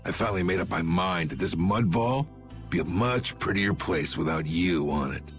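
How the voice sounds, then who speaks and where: A man voicing a gruff, commanding old guild boss in a video game, folksy, drawling and stubborn, with a gravelly, husky voice. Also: low-pitched, normal speed, music in the background, lightly acted. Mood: grimly resolved. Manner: folksy, drawling, plain-spoken. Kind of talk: video game dub acting.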